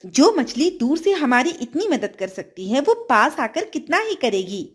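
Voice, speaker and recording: A woman's voice: high voice, big pitch swings, unhurried, husky, full character voice. A woman playing a greedy, scheming stepmother in a children's story, with a coaxing, eager and calculating manner.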